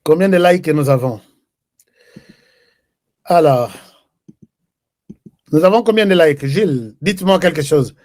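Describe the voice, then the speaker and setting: Casting: man, talk-show host, slightly high voice, dry close sound, loose chatty delivery. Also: lively intonation, unhurried, long pauses, a little mellow, dry recording. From DR Congo. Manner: chatty, switches between French and Lingala, reads viewer comments. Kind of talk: live political commentary show.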